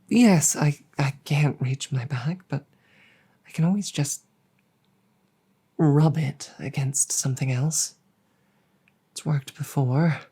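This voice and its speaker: A woman performs a female dragon in an intimate audio drama, proud yet embarrassed and tender with a human mate. The voice is low, dark and husky with breath. The words come slowly and formally, with flustered repeats and drops toward a whisper. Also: full character voice. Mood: flustered, reluctant.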